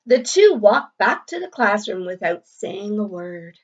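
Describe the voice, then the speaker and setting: Slow-paced woman reading a storybook aloud. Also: mid-range voice, sing-song. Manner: deliberate, checks in with listeners using tag questions. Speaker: storybook read-aloud narrator, educator. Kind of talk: children's read-aloud with asides to listeners. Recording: slightly dull, lo-fi sound.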